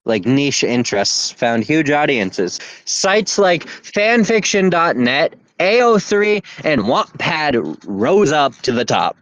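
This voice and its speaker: A man's voice: fairly high pitch, big pitch swings, slow, few pauses, fairly bright tone, dry recording. A man co-hosting a casual podcast chat, talking in a laid-back, rambling way and laughing often. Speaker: podcast co-host.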